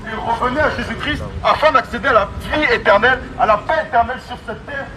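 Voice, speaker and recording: A high-voiced man evangelizes in the open air through a megaphone, with street noise clearly behind him. He exhorts emphatically, hammering key phrases by repeating them. It is a street sermon, amplified over the sounds of the city. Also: fast talker. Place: outdoors on an urban street.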